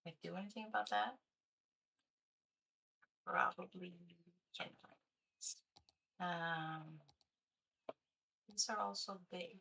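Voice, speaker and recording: Slow, gravelly woman streamer. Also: on the low side, fairly even intonation, pushed and bright, poor audio. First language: Swedish. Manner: chatty, thinking aloud, hesitant with fillers. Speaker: game streamer. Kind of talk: casual livestream commentary while playing.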